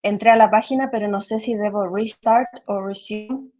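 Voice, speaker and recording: Brief: woman, Spanish speaker, medium pitch, casual bilingual livestream panelist. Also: steady tone, a little fast, warm tone. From Chile. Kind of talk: casual introduction and question answering.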